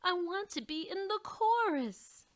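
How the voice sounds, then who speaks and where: A woman reading aloud and voicing an eager, loud young girl mouse in a storybook. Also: very high voice, a little slow, pitched above the performer's own voice. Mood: indignant. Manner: excited, bellowing.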